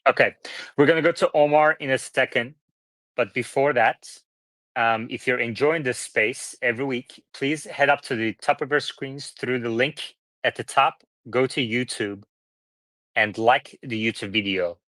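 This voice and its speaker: A man's voice: medium pitch, normal speed, fairly bright tone, no echo. A man hosting a live online panel discussion, directing questions to the guests. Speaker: host moderating a live panel.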